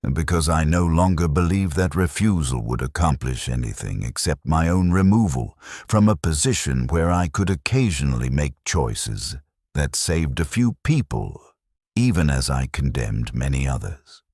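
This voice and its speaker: Super deep male narrator, grave and measured war story read, pitch moves around a lot, dry close sound. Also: big pitch swings, darkish tone, no echo. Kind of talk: scripted first-person war story narration.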